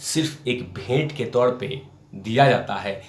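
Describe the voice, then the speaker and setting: A man's voice: mid-range voice, a little slow. A man hosting a political news commentary, speaking emphatically and repeating phrases for effect. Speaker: host of a political commentary show. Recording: roomy, echoing sound.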